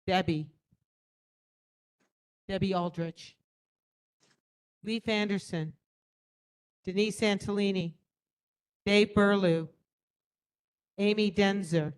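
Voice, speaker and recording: A woman chairing a community board meeting, brisk, friendly and procedural. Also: medium pitch, fairly even intonation, clean tone, rough recording.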